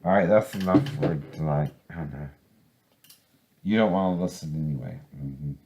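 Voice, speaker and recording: A man's voice: rumbling low, moderate pace, noisy background. A man giving informal, rambling religious commentary, often checking for agreement as he goes. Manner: rambling, often seeks agreement with tag questions. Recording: echoey room.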